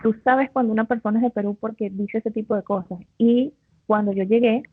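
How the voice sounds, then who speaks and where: A woman's voice: on the high side, fairly even intonation, a little fast, breathy edge, dry recording. A woman co-hosting who tells a personal anecdote in a chatty, conversational way. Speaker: co-host. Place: living in Peru. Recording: tinny phone-like call line.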